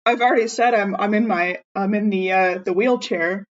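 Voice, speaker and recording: A woman playing a tabletop roleplaying game in casual improvised chat, animated and thinking aloud. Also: medium pitch, moderate pace, dull audio.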